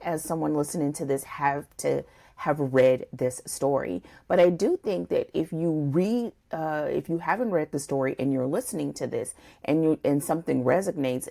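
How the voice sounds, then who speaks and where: A woman's voice: low voice, vocal fry, a little mellow. A woman co-hosting a podcast, discussing a book conversationally and thinking aloud in a discursive way. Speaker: podcast co-host.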